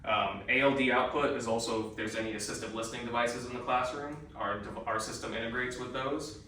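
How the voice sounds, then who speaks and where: A man's voice: medium pitch, fairly even intonation, fairly quick, pushed and bright. A man giving a hands-on equipment training in an informal, methodical step-by-step way, recorded indoors. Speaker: trainer demonstrating classroom audio and intercom equipment. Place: indoors in a school room. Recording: roomy echo, distant mic, faint noise.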